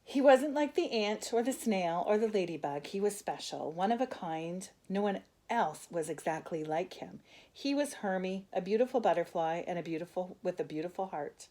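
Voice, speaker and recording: An older woman reads a children's picture book aloud in a gentle, expressive way, with asides to a young listener. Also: on the low side, moderate pace. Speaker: grandmother storyteller.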